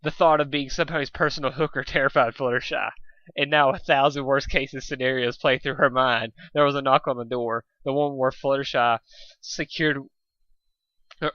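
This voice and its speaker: A man reading a story aloud as an amateur, playful and animated, stumbling over words now and then. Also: mid-range voice, normal speed, no room sound, muffled recording. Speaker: amateur fan fiction reader.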